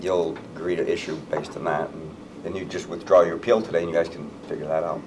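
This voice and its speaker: A very low male voice belongs to a board member. He speaks at a public hearing in a brisk, matter-of-fact way. Steady background noise sits under him on the meeting-room mic.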